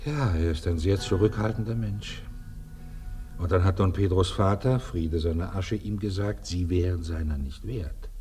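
A man's voice: sits low, normal speed, warm tone, faint music behind, close to an everyday voice. An actor in a radio play voicing a persuasive, deferential estate steward, pragmatic and matter-of-fact in his coaxing. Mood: coaxing, insistent.

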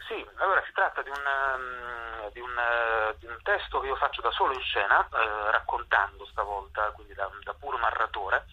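A man's voice: mid-range voice, moderate pace, hardly any pauses, sharp tone, husky. A man being interviewed as a guest playwright and actor, talking reflectively and searching for words, often repeating himself. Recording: dull lo-fi phone or radio line.

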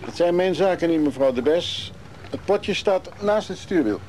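An actor playing a gruff, guarded man who lives on a leaky boat, speaking colloquially in a naturalistic radio drama. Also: a little high, expressive, normal speed, darkish tone, a little hiss or hum, close to an everyday voice. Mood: guarded, wry.

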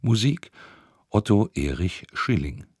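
Deep, husky male radio announcer. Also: sing-song, a little mellow, no echo, clean studio sound. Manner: formal, deliberate. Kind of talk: formal scripted cast announcement.

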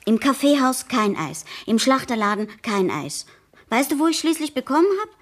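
A cheeky, exuberant girl of sixteen in a radio play. Her voice is high and slightly husky, with an eager, naive, girlish delivery. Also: sing-song, moderate pace. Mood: breathless, boisterous. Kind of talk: German radio drama acting.

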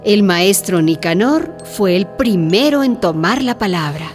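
A woman's voice: mid-range voice, expressive, a little slow, faint music behind, natural voice. A woman narrating a radio drama as a storyteller, reading a scripted village tale. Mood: storytelling.

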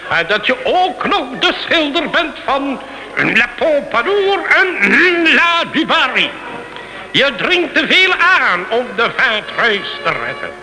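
An actor playing a menacing French revolutionary official in a radio drama, declaiming with a sneer. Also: male voice, very high voice, big pitch swings, slow, sharp tone, full character voice, low-quality recording. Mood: sneering threat.

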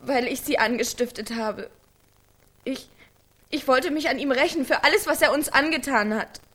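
A woman's voice: sits high, normal speed, fairly bright tone. An actress playing a troubled, needy teenage girl in a German radio drama, naive and intense. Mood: confessing, tense.

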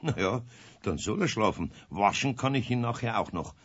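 A man playing a good-natured older master carpenter in a 1970s children's radio play, with a Bavarian accent, a husky voice and an exasperated, folksy, scolding manner. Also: on the low side, animated, moderate pace. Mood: calm, puzzled. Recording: dull vintage radio play recording.

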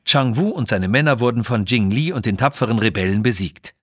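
A man's voice: mid-range voice, a little fast, background noise. A man narrating a scripted children's adventure story in a dramatic style.

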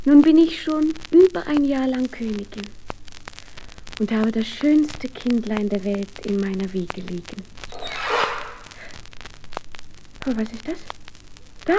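A woman's voice: a little high, mellow, a little hiss or hum, poor audio. A woman playing an anxious young queen and loving mother in a fairy-tale radio play, with emotive, theatrical delivery. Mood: tender, then alarmed.

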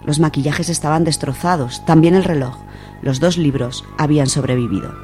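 A woman's voice: low voice, normal speed, warm tone, soft background music. A woman with an accent from Spain, presenting and reading on a literary radio segment. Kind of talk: radio talk and reading of a first-person short story.

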